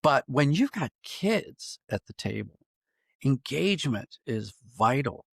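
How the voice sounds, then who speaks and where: Gravelly American man, thoughtful and halting. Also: mid-range voice, big pitch swings, no room sound, studio quality. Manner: thoughtful, halting with repeated false starts. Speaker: podcast guest, writer and dramatist. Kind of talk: conversational interview, explaining ideas.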